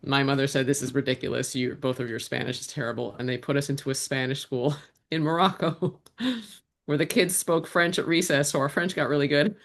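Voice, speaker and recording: A woman's voice: extremely low pitch, a little fast. A woman answering interview questions as a guest, in a reflective way with frequent restarts. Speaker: guest, actor and writer.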